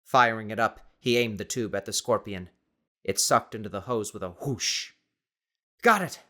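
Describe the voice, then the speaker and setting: A man's voice: lowish voice, fairly even intonation, moderate pace, pushed and bright. A man reading scripted fiction as a dramatic audiobook narrator.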